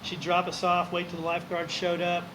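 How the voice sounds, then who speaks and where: A man in his sixties telling stories as an interview guest, relaxed, rambling and self-deprecating. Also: sits high, fairly quick. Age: 60s. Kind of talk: casual storytelling. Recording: noisy room with air conditioning hum.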